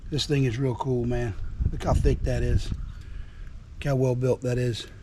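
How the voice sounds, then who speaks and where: A man with a hoarse, worn voice from being sick, casually showing off truck parts with folksy enthusiasm and lots of repeats. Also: mid-range voice, flat delivery, unhurried, noisy background. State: hoarse from illness. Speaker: trucking vlogger. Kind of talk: casual product show-and-tell. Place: outdoors at a house.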